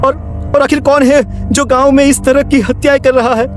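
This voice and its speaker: A man's voice: very high-pitched, fairly even intonation, moderate pace. A man playing an earnest, distressed young villager in a cartoon story, hesitantly repeating his words. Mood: grieving, determined. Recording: music under the voice.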